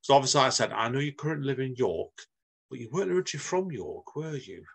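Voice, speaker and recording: A man's voice: mid-range voice, expressive, quick pace, creaky, slightly rough. A man hosting a podcast interview in a chatty, rambling way, laughing at himself as he goes. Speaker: podcast host. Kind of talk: casual interview chat.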